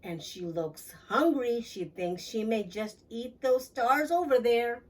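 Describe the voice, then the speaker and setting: A woman voicing a self-assured, excitable female farm cow in a storybook, proudly exclaiming. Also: fairly high pitch, takes it slowly, far from the mic. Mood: excited.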